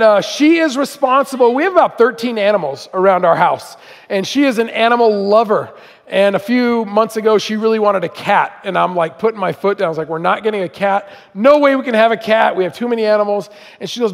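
A man in his forties stands before a church congregation, telling family stories in a loose, self-deprecating way with lots of ums and uhs. His voice sits fairly high for a man. A long reverb trails his words through the large hall. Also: vocal fry. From Iran, raised in Southern California. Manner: chatty, self-deprecating, many fillers. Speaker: guest speaker. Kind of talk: storytelling sermon. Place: church auditorium.

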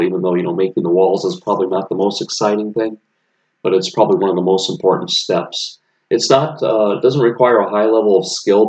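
A man's voice: deep voice, a little mellow, low-quality recording. A man casually narrating photos of his apartment renovation, rambling with many fillers and restarts. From United States.

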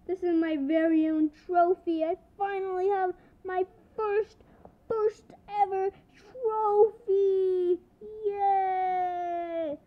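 A smug little plant creature, played by a woman, chatty and drawn-out in a fairly high voice. It is slow-paced and sounds thin and tinny, like a phone call.

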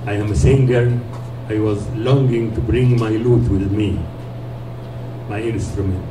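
A hoarse man losing his voice, giving a slow, friendly speech as a guest at a gathering, with emphatic repeats. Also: lowish voice, steady tone. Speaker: guest speaker. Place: community hall, indoors. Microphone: handheld mic, heard from a distant camera. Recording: echoey room, distant mic, background noise.

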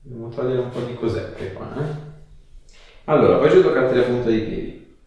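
A man, an osteopath giving brisk short directions and questions to a patient in a treatment room. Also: mid-range voice, darkish tone, lo-fi sound. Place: small treatment room, indoors. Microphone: camera a few metres away. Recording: roomy echo, distant mic, faint noise.

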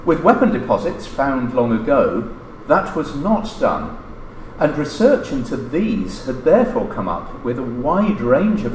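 Middle-aged male academic, formal scripted lecture with lively, swooping intonation, recorded in a roomy hall. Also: mid-range voice. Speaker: academic speaker reading a colleague's paper. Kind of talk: scripted conference lecture, read aloud. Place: indoor lecture room, standing at a lectern. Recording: roomy lecture-room echo, noticeable background noise.

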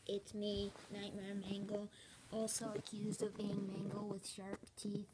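A woman voicing a helpful, slightly touchy nightmare fox toy in a halting, matter-of-fact way. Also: mid-range voice, monotone, heavy background noise, lightly acted.

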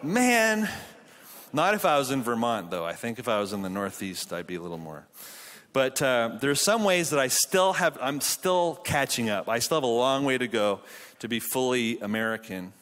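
A man's voice: mid-range voice, big pitch swings, normal speed, a bit bright. A man in his thirties from Quebec with a Canadian accent, giving a chatty, self-deprecating conference sermon on a handheld mic in a church auditorium. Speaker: pastor and conference speaker. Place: large church auditorium with stage. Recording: long hall reverb.

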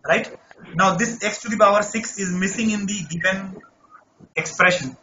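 A man's voice: high-pitched, moderate pace, bright, forceful tone, lo-fi sound, slight background noise. A man teaching an online math class, talking students through a problem and often checking "right?" with a voice he says is not normal. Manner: coaxing, repeats checks like "right?".

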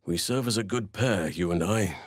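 Kindly earnest druid from a fantasy game, lowish voice, courtly wording, dry studio sound. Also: male voice, slightly rough, no room sound. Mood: tender, sincere. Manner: formal, measured, courtly phrasing. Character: gentle, earnest male druid, protective guardian of children.

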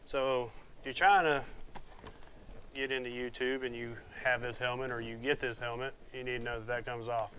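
Man, thirties, casual rambling vlog talk, mid pitch, lapel mic, faint hiss. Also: fairly even intonation, moderate pace, pushed and bright, low-quality recording. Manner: plainspoken, rambling, folksy. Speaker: motovlogger reviewing gear. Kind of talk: casual unboxing talk to camera. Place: small home room with shelves, indoors. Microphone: wireless lapel mic.

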